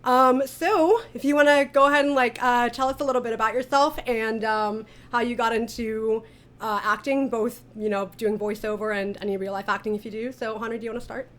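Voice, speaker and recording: A woman's voice: a little high, steady tone, a little fast, fairly bright tone. A woman hosting an interview in a casual, chatty way. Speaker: interview host and audio drama creator. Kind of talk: casual online cast interview.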